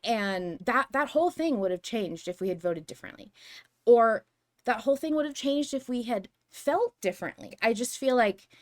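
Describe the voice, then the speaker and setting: A woman's voice: a little high, animated, moderate pace. A woman hosting a podcast, talking through fan analysis casually in an earnest, emphatic, argumentative manner. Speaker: podcast host.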